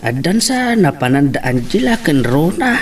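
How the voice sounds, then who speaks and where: A man's voice: a little high, big pitch swings, moderate pace. A man acting a mild elderly family man in an Ilocano radio drama, with an Ilocano accent. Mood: reflective. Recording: noticeable background noise.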